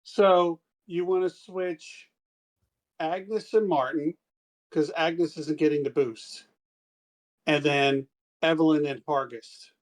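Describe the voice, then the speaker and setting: Slow-talking man at a game table. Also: fairly high pitch, fairly even intonation. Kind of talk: casual game chat.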